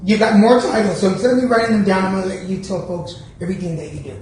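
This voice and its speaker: A man hosting an interview podcast in a guest's studio, talking in a relaxed, chatty way with many fillers. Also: high-pitched, very fast. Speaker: podcast host. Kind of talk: casual interview chat. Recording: echoey studio room, faint noise.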